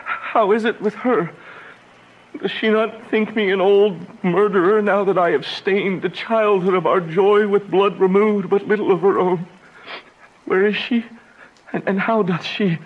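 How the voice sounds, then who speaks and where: A man's voice: sits high, fairly even intonation, narrow, tinny audio. A man playing a passionate, despairing young banished lover in a Shakespearean radio drama, with anguished, theatrical delivery. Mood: frantic grief. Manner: anguished, theatrical, slow declamation.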